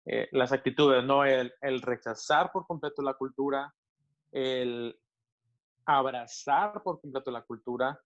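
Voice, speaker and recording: A middle-aged man from Mexico, a pastor with a Mexican Spanish accent, answering interview questions thoughtfully and often pausing to restart his sentences. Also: mid-range voice, lo-fi sound. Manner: thoughtful, with many hesitations and restarts.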